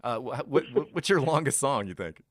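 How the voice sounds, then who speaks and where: Man interviewing with very animated pitch swings. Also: fairly quick, dry recording. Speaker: radio DJ interviewer. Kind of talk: casual radio interview. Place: radio station.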